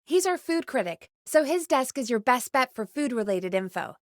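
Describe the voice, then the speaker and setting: Expressive, high-voiced radio producer. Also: female voice, normal speed, no echo, clean studio sound. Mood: focused, urgent. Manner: earnest, expressive, exasperated at times. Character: dedicated, easily exasperated woman producer at a radio station. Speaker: voice actor as radio show producer. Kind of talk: video game voice acting, natural dialogue.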